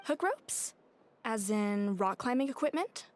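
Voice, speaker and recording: A woman's voice: fairly high pitch, expressive, unhurried. A woman playing an eager, helpful and easily flustered young shopkeeper in a video game. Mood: surprised.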